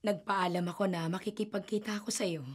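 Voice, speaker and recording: A woman's voice: medium pitch, steady tone, a little fast, breathy edge, lightly acted. A woman voicing a desperate, grieving mother in a horror radio drama, pleading and emotional. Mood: apologetic.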